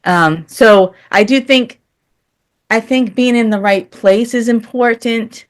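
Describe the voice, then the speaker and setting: A woman's voice: on the high side, slow. A woman speaking earnestly and candidly as an interview guest, in a conversational style.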